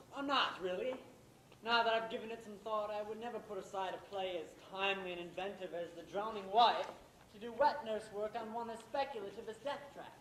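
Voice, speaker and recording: A man's voice: high-pitched, a bit bright. A man acting an older, established playwright in an amateur stage play, sly and condescending, with a theatrical, faux-genial delivery. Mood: cool, condescending. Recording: echoey stage recording, faint noise.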